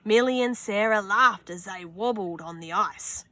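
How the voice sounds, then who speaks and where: A young woman reading a children's picture book aloud outdoors, slow and expressive, with gasps and little asides. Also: mid-range voice, no echo. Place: outdoors on a sunny wooden deck.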